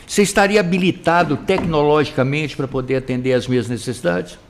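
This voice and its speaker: A man's voice: fairly high pitch, brisk. A man hosting a casual interview, asking probing questions with hesitant restarts. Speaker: host, interviewer.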